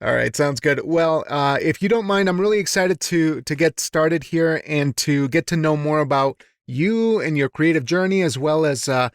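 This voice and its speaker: A man's voice: on the high side, moderate pace, hardly any pauses, no echo, studio quality. A man hosting a podcast, chatting casually and encouragingly as he interviews a guest.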